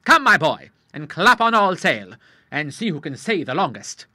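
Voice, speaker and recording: A man voicing a blunt, gruff elderly retired admiral in an audiobook, with brisk nautical bluster. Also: a little high, very animated, pushed and bright, dry recording, pitched above the performer's own voice, exaggerated voice. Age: older.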